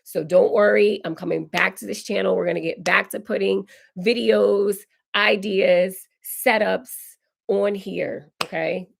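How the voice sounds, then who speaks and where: A woman in her forties, hoarse and raspy while recovering from illness, hosting a casual live Q&A and answering viewer comments. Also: mid-range voice, steady tone, measured pace. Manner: chatty, reads viewer comments aloud and answers warmly. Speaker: decor channel host. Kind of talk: live stream Q&A chat.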